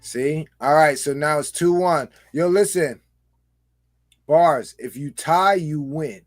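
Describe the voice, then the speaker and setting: A man co-hosting a podcast, reading trivia and joking loudly in casual chat. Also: on the high side, takes it slowly, soft background music. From New York. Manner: loud, animated, joking. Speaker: podcast co-host.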